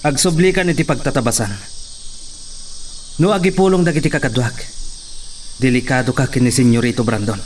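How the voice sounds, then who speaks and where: An actor playing an anxious young farm worker in a radio drama. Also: male voice, mid-range voice, brisk, pauses often, slight background noise, close to an everyday voice. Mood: urgent.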